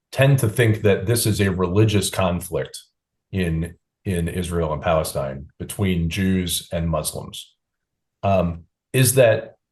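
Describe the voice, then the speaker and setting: Deep-voiced podcast host guy, lots of ums and restarts asking questions. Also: darkish tone. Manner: hesitant, searching for words with restarts and "um"s. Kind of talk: interview questions.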